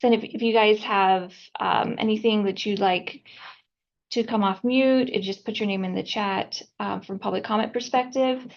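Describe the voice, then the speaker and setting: Halting woman chairing a video-call meeting. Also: mid-range voice, fairly even intonation, gravelly. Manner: halting, with many fillers. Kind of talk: running a board meeting. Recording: dull video-call audio.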